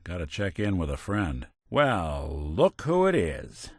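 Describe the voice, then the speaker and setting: An actor playing a world-weary, wry but kindhearted android detective in a video game, with hardboiled old-fashioned slang. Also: male voice, low-pitched, huge pitch swings, slow pace, no echo, studio quality, exaggerated voice. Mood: measured.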